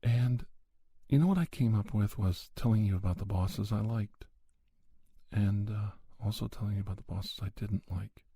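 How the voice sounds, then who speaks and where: A man speaking softly in an ASMR monologue, in a halting, reflective manner, as a podcast host. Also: lowish voice, breathy edge, dry recording, studio quality. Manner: slow, halting, reflective delivery. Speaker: podcast host playing a conversation counselor. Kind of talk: soft-spoken ASMR monologue.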